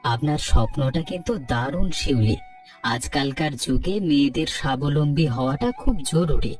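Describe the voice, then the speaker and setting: A man voicing a kind, earnest young village doctor in a cartoon, speaking politely and in a measured, encouraging way. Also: fairly high pitch, a little mellow, distant mic, soft background music, close to an everyday voice. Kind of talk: scripted cartoon dialogue.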